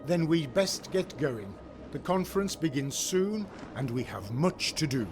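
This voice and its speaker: Higher-voiced, formal statesman played by a man. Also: big pitch swings. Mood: concerned, formal. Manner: formal, measured. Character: worried, formal male senator and statesman. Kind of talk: comic dub voice acting. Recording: faint music under the voice.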